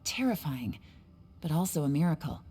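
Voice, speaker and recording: A woman voicing a cool, composed, shrewd and mysterious woman in an anime game, with a measured, knowing delivery. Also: deep voice, sing-song, dark tone, slightly rough, soft background music, heavily acted. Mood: pensive.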